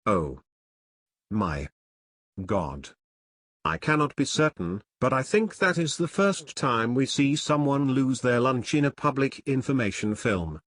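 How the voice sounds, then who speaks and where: A man delivers scripted review narration in a measured, wry manner. His voice sits at an average pitch. The recording is very dry, free of room echo. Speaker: film reviewer.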